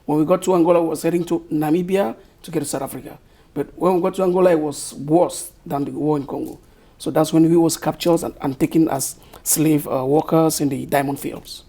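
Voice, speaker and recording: A middle-aged man from Cameroon with a Cameroonian accent, answering interview questions slowly and thoughtfully, often searching for words. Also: on the high side, steady tone, warm tone. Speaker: author guest.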